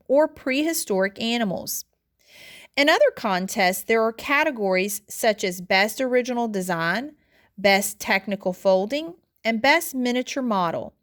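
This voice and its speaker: A woman reading an article aloud as a teacher would for students, in an instructional, deliberate way with the odd stumble over words. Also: on the high side. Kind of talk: read-aloud lesson narration.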